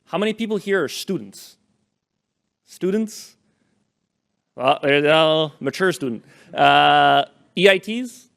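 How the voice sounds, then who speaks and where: A man's voice: a little high, vocal fry, a bit bright. A young man giving a casual, chatty conference talk into a microphone, with lots of fillers and restarts. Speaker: conference presenter. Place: conference room with live audience. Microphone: handheld or podium microphone.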